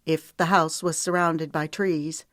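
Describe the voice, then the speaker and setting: A woman narrator voicing a stubborn, emotional woman character in an audiobook, earnest and quick to retort. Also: low voice, steady tone, a bit bright, no room sound, clean studio sound. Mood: curious, dry. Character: stubborn, emotional woman pleading for her freedom.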